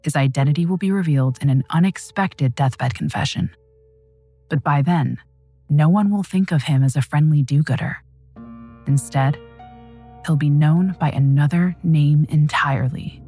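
A woman's voice: low-pitched, normal speed, mellow, soft background music. A woman hosting a true crime podcast, reading a scripted, dramatic story.

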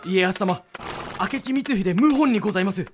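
Casting: man playing devoted samurai-era merchant messenger, high-pitched, pressing and respectful, period radio play. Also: moderate pace, soft background music, lightly acted. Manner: deferential archaic speech, emphatic. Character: loyal, urgent merchant retainer of the warlord era. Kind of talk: Japanese period audio drama acting.

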